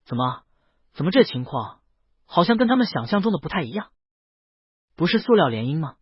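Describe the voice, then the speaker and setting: An incredulous society gossip from an audio romance, acted by a male narrator, baffled and nosy. The pitch swings widely in a husky voice on slightly dull audio. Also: very animated, brisk, no echo, heavily acted. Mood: stunned. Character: baffled, gossiping crowd of high-society guests.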